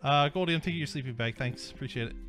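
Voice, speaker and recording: A man's voice: mid-range voice, very fast, a bit bright. A man hosting and acting in a game roleplay, upbeat and playful with his friends. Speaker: host and roleplayer. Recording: game audio with music underneath.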